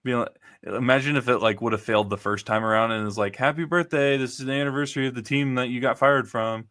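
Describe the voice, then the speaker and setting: Young male host, bright and punchy, leads the rundown. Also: mid-range voice, steady tone, fast talker, no echo, clean studio sound. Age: 20s. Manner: chatty, leads the segments. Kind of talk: casual sports chat.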